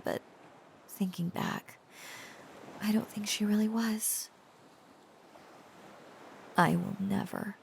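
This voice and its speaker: A woman acting as a tender, protective dragon girl in a romantic audio roleplay speaks very slowly and haltingly. Her voice is on the low side with a creaky edge, often softening toward a whisper. There is faint noise behind her. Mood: earnest, reassuring. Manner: hesitant, halting, earnest, often dropping to breathy whispers. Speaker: voice actor.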